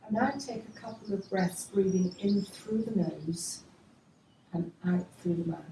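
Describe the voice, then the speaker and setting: Mellow woman guiding yoga relaxation, distant mic. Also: mid-range voice. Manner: gentle, unhurried instructing with soft trailing phrases. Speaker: yoga teacher. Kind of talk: guided yoga relaxation instruction. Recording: distant mic, echoey room, faint noise.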